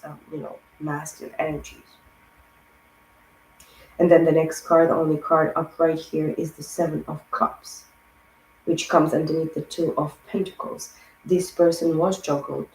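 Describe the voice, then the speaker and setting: A woman giving a rambling spiritual tarot reading, hoarse and losing her voice, piling up words in long lists. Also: sits low, darkish tone, echoey room, a little hiss or hum, rough recording. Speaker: tarot reader.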